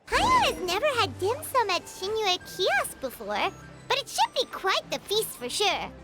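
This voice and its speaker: A woman voicing a tiny, chatty, gluttonous fairy girl in a video game, squeaky and childlike, full of exclamations. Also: huge pitch swings, moderate pace, over loud music, full character voice. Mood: chatty, gossipy. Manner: refers to self in third person, exclaiming. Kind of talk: animated video game voice acting.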